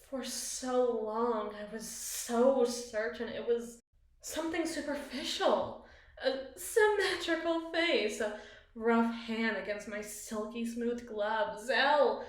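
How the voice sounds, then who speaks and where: A woman's voice: fairly high pitch, slow. A woman playing a possessive, cunning evil queen in an ASMR roleplay, speaking in ornate, theatrical monologue to a spouse. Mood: agitated, impassioned. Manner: ornate, eloquent, dramatic phrasing. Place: small bathroom, indoors. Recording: very echoey tiled bathroom.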